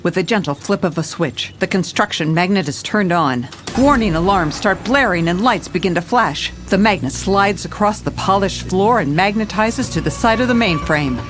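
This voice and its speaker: A woman's voice: medium pitch, lively intonation, moderate pace, over music, natural voice. A woman playing a level-headed police commissioner who narrates her case in a scripted audio drama. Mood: narrating, suspenseful.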